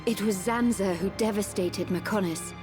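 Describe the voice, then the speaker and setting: A woman playing a solemn, composed guide of an ancient race in a video game, speaking in a measured, formal way. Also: medium pitch, lightly acted. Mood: grave. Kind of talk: scripted video game cutscene acting. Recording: music behind the voice.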